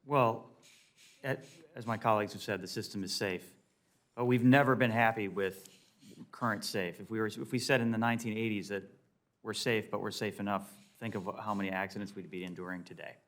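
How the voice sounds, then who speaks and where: A man's voice: fairly low pitch, steady tone, a little fast, a bit bright. An American man, an airline pilot, giving unscripted, emphatic and explanatory testimony at a hearing.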